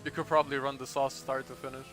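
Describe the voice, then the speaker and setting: A man's voice: medium pitch, level tone, fast talker, fairly bright tone, slight background noise. Laughing, chatty man calling a sim race. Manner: chatty, laughs often, trails off with fillers. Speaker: race commentator. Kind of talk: casual live sim-racing commentary.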